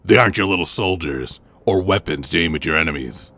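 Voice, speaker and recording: A man acting a stern, righteous masked vigilante in a motion comic, terse and grave with a raspy voice. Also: low-pitched, animated, brisk, fairly bright tone. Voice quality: raspy, husky.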